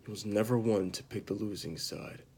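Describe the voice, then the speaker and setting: A man playing a bitter disgraced knight confessing to killing a mad king, in a halting, dramatic amateur monologue that drops into whispers. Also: on the low side, fairly even intonation, a little mellow, breathy edge. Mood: grave, confessional.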